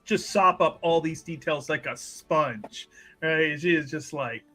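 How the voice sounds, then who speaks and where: A man chatting casually as a roleplaying player, describing what his character does in halting phrases. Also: a little high, pushed and bright, soft background music. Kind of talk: casual game chat, describing a character's actions.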